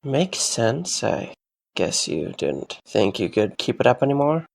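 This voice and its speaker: A man playing a frustrated, sarcastic and weary young man in a fan audio drama, speaking in an exasperated, halting way. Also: mid-range voice, very animated, creaky, heavily acted. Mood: baffled, sarcastic.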